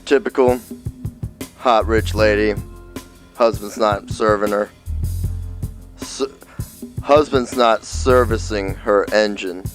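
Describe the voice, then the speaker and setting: Male actor as seedy occult detective, lowish pitch, unhurried, deadpan, comic radio noir, music behind.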